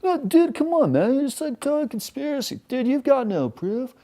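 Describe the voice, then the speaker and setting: A naive, trusting young guy, as a man mocks him, whines in a high, pleading voice. The delivery is drawn-out and slangy, his pitch swinging all over the place. It is a broad, sneering caricature. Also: big pitch swings, warm tone, exaggerated voice. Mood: mocking.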